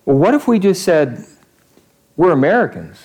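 A man's voice: on the high side, big pitch swings, normal speed, darkish tone, breathy edge, muffled recording. A male pastor giving a conversational sermon in church, repeating phrases for emphasis.

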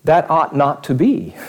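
A man's voice: fairly high pitch, animated, takes it slowly. A male pastor giving a conversational sermon in church, repeating phrases for emphasis.

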